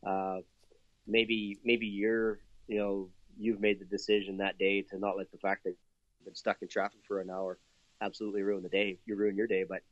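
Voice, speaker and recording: A man's voice: lowish voice, level tone, normal speed, no echo. Upbeat Canadian man on a dull line. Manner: upbeat, chatty, laughs often, many fillers. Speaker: interview guest. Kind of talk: casual interview talk.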